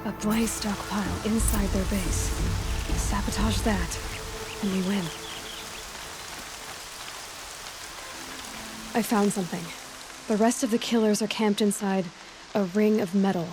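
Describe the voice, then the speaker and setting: A woman's voice: mid-range voice, fairly even intonation, moderate pace, lightly acted. A young huntress heroine, acted by a woman for a video game. She talks in a natural, conversational way, self-assured with a wry edge, with faint music underneath. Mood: determined.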